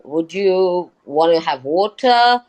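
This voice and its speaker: A woman's voice: fairly low pitch, animated, slow, a little mellow. A woman doctor and lecturer, a guest in a live interview, explaining things patiently with many hesitant fillers. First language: not Hindi.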